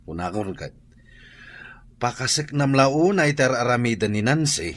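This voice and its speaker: A man's voice: mid-range voice, lively intonation, a little slow, fairly bright tone, dry recording. An actor playing a reproving, no-nonsense husband and father in a radio drama. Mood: skeptical.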